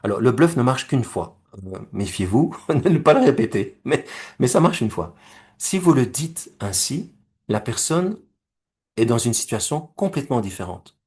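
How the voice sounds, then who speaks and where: A middle-aged man from Belgium and Rwanda giving a recorded talk, measured and explanatory, with homely comparisons and questions to the listeners. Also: medium pitch, sing-song, a little mellow, slightly rough. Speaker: speaker presenting his conflict-resolution method.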